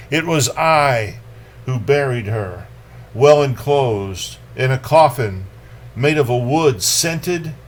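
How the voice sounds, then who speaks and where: A man hosting a poetry reading, delivering verse in a dramatic, deliberate manner with casual commentary. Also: mid-range voice. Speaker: poetry reader and host.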